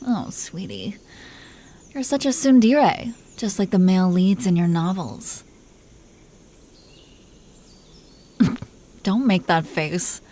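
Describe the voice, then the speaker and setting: A woman's voice: fairly low pitch, expressive, slow, pauses often, mellow. A woman playing an imperious, possessive dragon queen who teases her husband with condescending affection in an audio roleplay. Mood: teasing. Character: haughty, teasing, possessive dragon queen and doting wife. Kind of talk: scripted roleplay monologue spoken to the listener.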